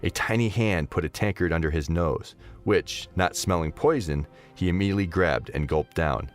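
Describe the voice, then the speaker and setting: A man narrating a scripted story in an expressive storytelling manner. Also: low voice, normal speed, soft background music.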